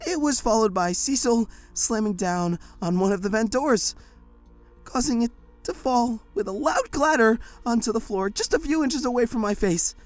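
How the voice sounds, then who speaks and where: A woman's voice: on the high side, animated, normal speed, faint music behind. A woman narrating a first-person audio drama in a tense, breathless storytelling manner.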